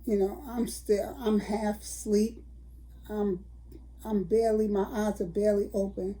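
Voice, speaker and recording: A woman's voice: medium pitch, level tone, measured pace, dark tone. An older woman, telling a story to her viewers in a chatty vlog. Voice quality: raspy. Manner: chatty, addresses viewers as y'all. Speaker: vlogger.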